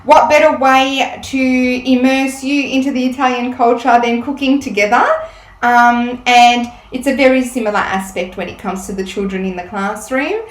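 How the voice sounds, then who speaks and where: A woman's voice: fairly high pitch, fairly even intonation, moderate pace. A woman teacher giving a casual cooking demonstration in a home kitchen, chatty and explaining step by step with frequent ums. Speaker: Italian teacher. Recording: roomy sound, mic at a distance, faint room noise.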